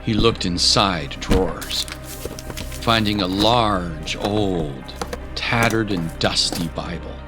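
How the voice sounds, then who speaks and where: A man reading scripted dramatic narration of a fantasy adventure in a measured, deliberate way with pauses for effect. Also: low-pitched, music in the background. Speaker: storyteller, narrator.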